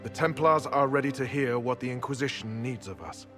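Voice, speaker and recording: A man's voice: mid-range voice, fairly quick, lightly acted. Man acting solemn knight over swelling music. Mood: grave. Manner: grave, formal. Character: solemn, devout male knight of a templar order. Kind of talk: video game cutscene acting.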